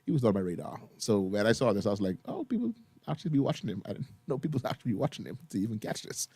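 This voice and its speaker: A man co-hosting a morning show in casual chat, quick to laugh. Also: fairly low pitch, slightly rough, no room sound. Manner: animated, laughs readily. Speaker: co-host of a morning radio show. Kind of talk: casual chat, reacting to listener comments.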